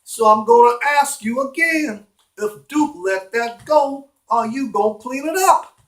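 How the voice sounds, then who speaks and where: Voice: medium pitch, lively intonation, measured pace, a bit bright, voice pushed up. A storyteller playing an irritable homeowner, a man who talks in a blunt, emphatic, indignant way. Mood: firm, annoyed. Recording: roomy, echoing room sound.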